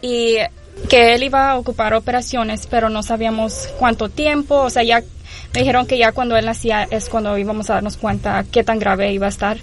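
Young woman, hesitant, fairly high voice. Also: little pitch movement, brisk, faint music behind. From Houston, Texas. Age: young adult. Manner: hesitant, many filler sounds, mixes English terms into Spanish. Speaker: guest, mother of a patient. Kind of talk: personal account in an interview.

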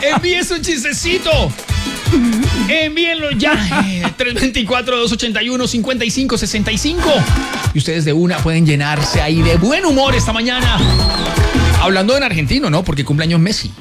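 A man's voice: very high voice, expressive, fairly quick, loud music behind the voice. A Colombian man hosting a morning radio show with casual, playful banter, sometimes putting on an Argentine accent.

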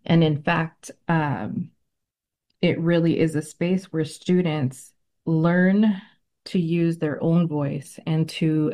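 A middle-aged woman and native Spanish speaker giving reflective interview answers as an educator, speaking in an earnest, deliberate, articulate way. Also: low voice, dark tone. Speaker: ethnic studies educator, interview guest.

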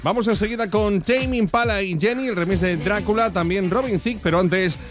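A man's voice: high voice, fairly quick. A man from Spain with a Castilian accent presenting a morning radio show in an upbeat, chatty way. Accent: Castilian Spanish. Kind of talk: lively radio banter and presenting. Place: radio studio. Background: music bed.

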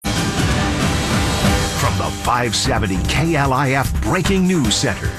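A man's voice: medium pitch, big pitch swings, slow pace, loud music behind the voice. A man reading a scripted radio station ID with formal announcer delivery.

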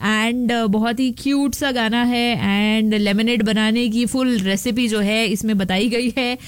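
A woman's voice: a little high, fairly even intonation, takes it slowly, smooth, no echo. A young Indian woman hosting a radio show in a casual, playful, chatty way, slipping English phrases into her talk. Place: radio studio.

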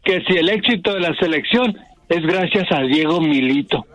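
A man's voice: fairly high pitch, animated, normal speed, a bit bright. A man who is a regular on a radio show, calling in and arguing bluntly and stubbornly. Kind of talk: call-in banter on radio.

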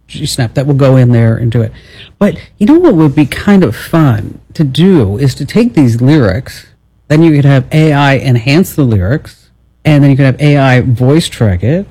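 A man hosting a call-in radio talk show, chatty and upbeat, asking quick follow-up questions. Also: medium pitch, lively intonation, warm tone, husky, no room sound, poor audio. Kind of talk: call-in tech radio chat and ad read.

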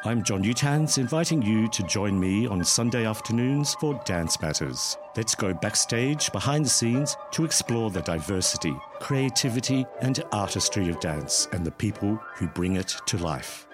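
A man's voice: on the low side, expressive, moderate pace, few pauses. A man reading a scripted promo for his own weekly radio program. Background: music.

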